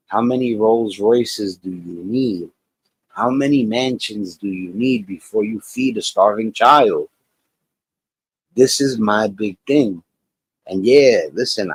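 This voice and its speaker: Host, male, late 40s; low-pitched, loose, preachy reaction talk. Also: breathy edge, no echo, rough recording. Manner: rambling, preachy life advice. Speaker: channel host. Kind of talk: casual reaction commentary.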